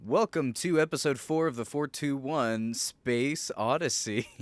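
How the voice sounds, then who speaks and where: A man's voice: medium pitch, unhurried, no echo. A young man hosting a casual podcast, joking, laughing often and swearing while telling a story. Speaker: podcast host.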